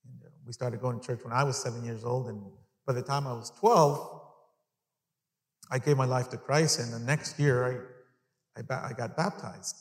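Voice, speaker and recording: A man's voice: mid-range voice, fairly even intonation, moderate pace, long pauses. Middle-aged male preacher in an echoey hall. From Long Island, New York, United States (born in El Salvador). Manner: conversational, repeats phrases for emphasis, asks the audience questions. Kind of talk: sermon to a live congregation. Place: large indoor church hall, speaking to an audience. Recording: echoey hall with long reverb.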